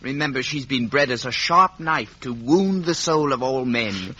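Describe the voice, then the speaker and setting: An actor playing a wry, sensible young gentleman in an old radio drama. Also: medium pitch, lively intonation, measured pace, breathy edge, heavily acted. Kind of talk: 1950 radio drama acting.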